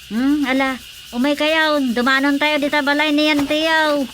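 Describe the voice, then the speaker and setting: A woman's voice: sits high, flat delivery, normal speed, a bit bright, slight background noise. A woman playing a chatty middle-aged rural mother in a radio drama comedy, with folksy exclamations and an Ilocano accent. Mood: fussing, chiding.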